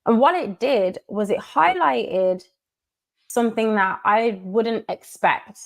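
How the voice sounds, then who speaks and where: A woman's voice: a little high, creaky. Emphatic woman delivering commentary. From United Kingdom. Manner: measured, emphatic, repeats key phrases. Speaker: commentator in a played video. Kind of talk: monologue commentary.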